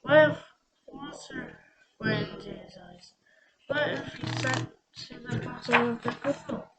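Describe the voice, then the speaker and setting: Young storybook reader, slow and halting, repeats words, music behind. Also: mid-range voice. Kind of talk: reading a children's picture book aloud.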